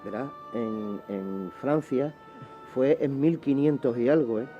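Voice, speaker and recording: A man's voice: medium pitch, slow pace. A man co-hosting a radio show, chipping in with casual, colloquial remarks. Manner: colloquial, interjecting. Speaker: radio co-host. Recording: dull lo-fi radio audio, music underneath. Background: background music.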